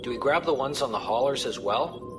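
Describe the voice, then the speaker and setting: A man's voice: medium pitch, animated, a little fast, over music, lightly acted. An actor playing a chummy, teasing pirate crewman in an audio drama.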